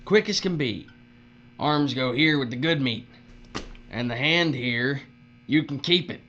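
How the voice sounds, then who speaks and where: Man playing a sharp-voiced folksy bully foreman. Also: medium pitch, unhurried, close to an everyday voice. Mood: gleefully mocking. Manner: folksy, drawling turns of phrase, mocking. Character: cruel, folksy, boastful bullying foreman who fawns on bosses. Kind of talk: dark dystopian audio drama acting. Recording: dull audio with faint mains hum.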